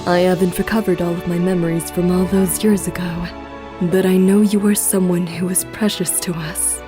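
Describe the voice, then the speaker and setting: A composed female warrior acted by a woman for a fantasy game dub, speaking in a fairly low voice. She is earnest and plainspoken, with music underneath. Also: little pitch movement, normal speed, close to an everyday voice.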